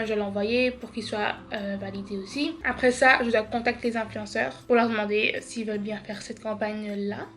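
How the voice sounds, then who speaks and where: Tired chatty young woman vlogger. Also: a little high. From Belgium. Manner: chatty, tired, says genre a lot. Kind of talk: casual vlog chat. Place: home.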